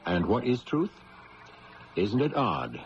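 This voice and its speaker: A man's voice: fairly low pitch, very animated, slow, noisy background. A man hosting a radio mystery program with wry, theatrical scripted narration. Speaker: program host. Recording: muffled old radio broadcast.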